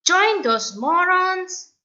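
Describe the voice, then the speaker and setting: Storybook hero: woman plays small male dinosaur, defiant and sensible, argumentative, pitched well up. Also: big pitch swings, extremely slow, pushed and bright, dull audio, full character voice. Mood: indignant. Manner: indignant, argumentative.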